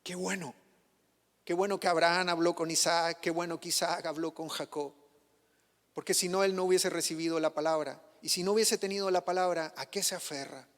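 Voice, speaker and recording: A man from Chile, a pastor, preaching a sermon, pushing his voice up on questions and sinking it low to drive points home. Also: fairly even intonation, brisk, long reverb tail. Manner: passionate preaching, rising on questions and dropping low for emphasis. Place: church.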